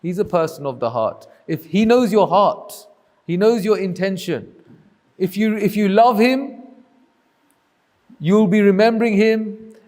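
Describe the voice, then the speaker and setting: A man's voice: sits high, unhurried, pauses often, a little mellow, in a large hall. A man teaching a group, answering questions with patient, reassuring explanations. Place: community hall.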